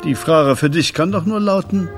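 An actor playing a patronising, probing loan shark in a radio drama, casually threatening and familiar. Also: male voice, a little high, normal speed, close to an everyday voice. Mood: quietly menacing. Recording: music playing behind the voice.

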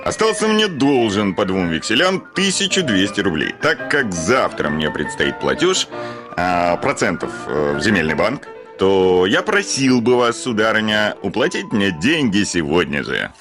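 Grumpy blustery old-time landowner officer, low voice that jumps all over, radio drama, music behind. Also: male voice, sing-song, moderate pace. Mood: stiffly formal. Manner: formal address breaking into gruff insults, hemming. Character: blustering, irritable male landowner, retired artillery officer. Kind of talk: classic radio play acting.

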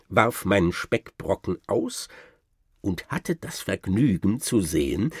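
Radio play raconteur: self-satisfied gentleman hunter, lowish voice with very animated, swooping delivery. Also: slightly rough, dry recording, studio quality, heavily acted. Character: boastful gentleman hunter spinning absurd tall tales. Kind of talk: theatrical first-person storytelling, German radio drama.

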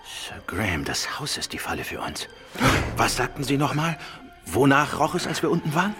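An actor playing a quick-witted, commanding male detective in a German radio play. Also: medium pitch, sing-song, bright, forceful tone, soft background music. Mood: urgent, deducing.

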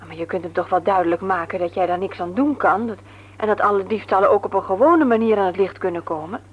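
An actress playing a practical, supportive woman of the household in a radio drama, with a husky voice. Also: medium pitch, fast talker, natural voice. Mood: concerned. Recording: dull, muffled radio recording.

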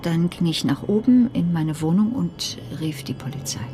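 An actress playing a sober neighbour giving a witness statement in a German radio play. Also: female voice, fairly low pitch, normal speed, background noise, natural voice. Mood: subdued. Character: female neighbour, a sober witness reporting to police.

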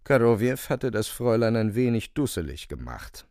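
A man narrating a literary radio drama with wry, expressive storytelling. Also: mid-range voice, moderate pace, dry recording, studio quality.

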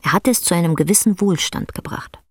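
A woman narrating a literary radio drama as a sober, measured storyteller. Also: on the low side, a little hiss or hum, natural voice. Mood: intense.

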